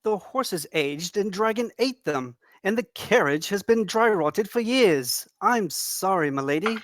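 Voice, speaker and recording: A woman's voice: medium pitch, animated, a little slow, no echo, lightly acted. Woman playing a humble, deferential young janitor. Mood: apologetic. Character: humble, apologetic young male janitor servant. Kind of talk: comedic screenplay table read.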